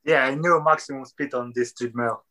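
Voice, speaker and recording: A man's voice: medium pitch, fairly even intonation, moderate pace, sharp tone. A man, a guest runner, giving short answers in basic English. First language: Russian. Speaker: guest, ultrarunner.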